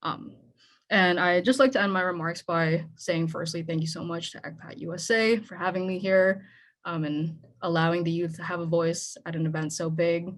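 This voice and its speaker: A woman's voice: fairly low pitch, fairly even intonation, normal speed. A young woman from the Philippines, a native Tagalog speaker, giving an earnest, conversational talk on a panel. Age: young adult. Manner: earnest, conversational, with frequent "right?". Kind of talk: speech at a panel event.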